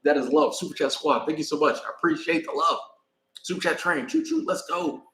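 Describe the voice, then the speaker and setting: An American man rambling casually on a live stream while traveling in Mexico, pausing to thank viewers by name. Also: on the high side, fairly bright tone, reverberant. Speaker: vlogger.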